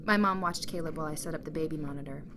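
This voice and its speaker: A woman playing a gentle, tired young mother and caring wife in a naturalistic audio drama. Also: low-pitched, racing, noisy background, natural voice. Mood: relaxed, chatty.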